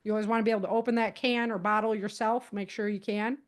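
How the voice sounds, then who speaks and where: A woman's voice: medium pitch, steady tone, fast talker. A woman speaking as a podcast guest, giving practical advice in a casual, conversational way and explaining things with animation.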